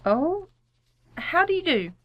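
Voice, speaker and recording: A woman's voice: extremely high pitch, sing-song, slow, dry recording, lo-fi sound, heavily acted. A woman playing a composed, firm suburban wife in an old-time radio comedy, polite with dry sarcasm.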